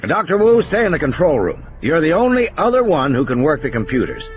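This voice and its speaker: A man voicing a gruff, blunt game warden who gives terse, commanding orders in an audio drama. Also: on the high side, wildly swooping pitch, a little fast, highs cut off, faint music behind, pitched above the performer's own voice. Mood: commanding, urgent.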